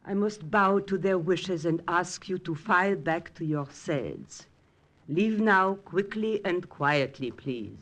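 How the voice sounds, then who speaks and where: An actress playing a calm, authoritative Mother Superior of a convent in a 1940s radio drama, speaking formally. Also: lowish voice, slow, slight background noise. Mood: firm, formal.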